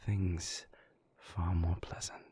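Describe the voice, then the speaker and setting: A moody vampire boyfriend acted by a man murmurs in a very low, half-whispered voice. He is suave and guarded, choosing formal words and drawing them out very slowly, as in an intimate audio roleplay. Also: little pitch movement, warm tone, exaggerated voice. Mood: guarded, evasive. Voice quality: breathy, creaky near-whisper. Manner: languid, formal, measured phrasing.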